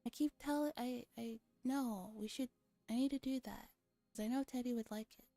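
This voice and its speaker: Bright-voiced playful woman, soft music behind. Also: fairly high pitch, moderate pace, dry recording. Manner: playful, giggly, relaxed banter. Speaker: streamer host reading a visual novel aloud. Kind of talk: casual livestream chat mixed with game read-aloud, ASMR.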